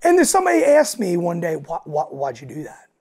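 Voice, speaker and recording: A man with a raspy voice, talking casually as a podcast guest and telling stories with acted-out asides. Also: a little high, wildly swooping pitch, brisk, darkish tone. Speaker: guest, alternative country singer and frontman.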